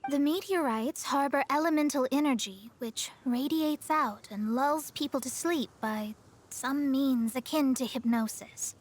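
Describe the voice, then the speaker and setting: A woman's voice: high-pitched, unhurried, a little hiss or hum. A woman playing a proud, self-assured young female astrologist in a video game, speaking in a haughty, articulate, explanatory way. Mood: explaining. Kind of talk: video game character acting. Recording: music behind the voice.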